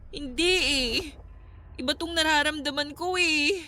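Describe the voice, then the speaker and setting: A woman's voice: high voice, measured pace, pushed and bright. A Filipino woman playing a troubled young vlogger, haunted, weary and easily hurt, in a radio drama. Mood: uneasy, earnest.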